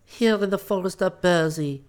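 A man's voice: high-pitched, normal speed, smooth, voice pushed up, close to an everyday voice. A man voicing a young female forest nymph from a storybook, earnest, tender and boldly pleading.

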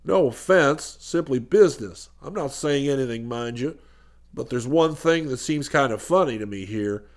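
A stout, cold-eyed American businessman from a comic storybook, acted by a male reader. His voice sits low, and he talks bluntly, with stubborn suspicion and a matter-of-fact air. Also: expressive, normal speed, lightly acted. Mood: suspicious.

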